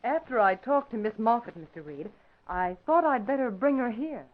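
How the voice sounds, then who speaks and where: An actress playing an earnest, businesslike newspaper employee with a formal manner in an old-time radio drama. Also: female voice, fairly high pitch, expressive, normal speed, lightly acted. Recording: tinny, band-limited vintage radio recording.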